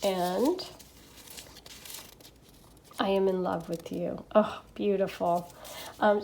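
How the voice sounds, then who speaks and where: Stuffy-nosed woman doing a tarot reading. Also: mid-range voice. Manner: gentle and chatty, many fillers and checks like "okay?". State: stuffy nose from allergies. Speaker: tarot reader. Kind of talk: casual pick-a-card tarot reading.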